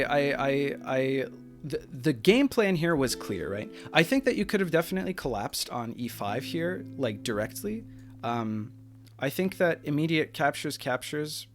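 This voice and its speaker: A young man, a streamer, talks through a chess game at an average pitch, circling back with fillers and restarts. His throat is strained from talking for hours. Faint music plays behind him. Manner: rambling, with many fillers and restarts. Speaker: streamer reviewing chess games. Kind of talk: casual game analysis.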